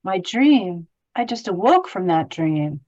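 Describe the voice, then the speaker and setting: A woman's voice: on the low side, big pitch swings, slow pace, a little mellow, highs cut off, full character voice. A woman playing an earnest, anxious young male hobbit in a table read of a fantasy film script.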